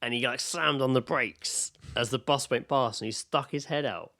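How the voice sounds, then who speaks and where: A man's voice: medium pitch, normal speed, bright, forceful tone, no echo, clean studio sound. A man with a southern English accent co-hosting a podcast, telling comic anecdotes casually and repeating himself for emphasis. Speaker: podcast co-host.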